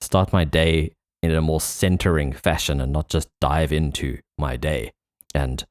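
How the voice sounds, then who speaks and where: A man hosts a casual podcast chat. His voice is extremely low, and it is recorded dry with no room sound. He talks in an informal, conversational way. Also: fairly even intonation, measured pace, no room sound. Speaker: podcast host.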